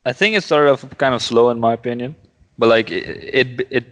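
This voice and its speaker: A man's voice: medium pitch, fairly quick. A man's voice rings with long, hall-like reverb as he chats on a podcast. He gives short, casual, sweary film opinions and often repeats his words. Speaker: podcast co-host. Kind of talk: casual movie review chat.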